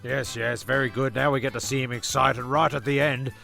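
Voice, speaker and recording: A man playing a bumbling, irritable storyteller in a comedy radio play, rambling along in a hesitant, deadpan way. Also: medium pitch, fairly quick, vocal fry. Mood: dry. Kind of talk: rambling, sweary comic narration. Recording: music under the voice.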